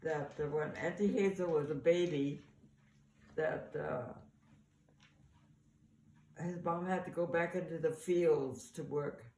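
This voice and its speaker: An older woman, a mother casually sharing family memories in a halting, conversational way. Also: low-pitched, steady tone, dark tone. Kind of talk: casual oral-history reminiscence. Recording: echoey, distant mic, faint room noise.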